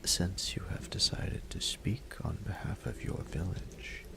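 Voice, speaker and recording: Haughty dragon monarch, romance audio drama: very low, slow, half-whispered menace. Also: male voice, lively intonation, exaggerated voice. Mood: intrigued, condescending. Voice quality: breathy, gravelly half-whisper. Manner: haughty, formal, deliberate. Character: proud, imperious male dragon ruler, seductively menacing. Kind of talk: scripted romantic audio roleplay.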